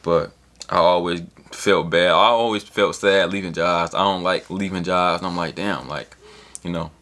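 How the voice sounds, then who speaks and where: Deep-voiced young man rambling casually. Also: fairly even intonation, normal speed, fairly bright tone. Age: 20s. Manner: loose, rambling, talks in slang and swears. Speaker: vlogger. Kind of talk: casual motivational monologue.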